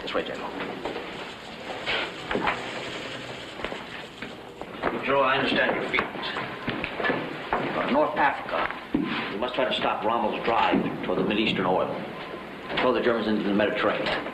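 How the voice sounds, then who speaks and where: An actor playing a blunt, tough American general with an American accent, talking forcefully. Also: male voice, lowish voice, fairly quick, pauses often, roomy sound, very noisy, lightly acted. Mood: persuasive. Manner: forceful, shouting in anger. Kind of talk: film drama acting.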